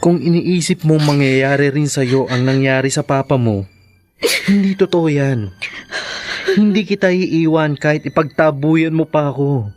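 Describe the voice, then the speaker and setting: An actor playing a devoted, persistent young suitor in an earnest, pleading Filipino radio drama style. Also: male voice, a little high, a little slow, darkish tone, no echo, lightly acted. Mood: pleading, loving. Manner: earnest, pleading, mixes in English.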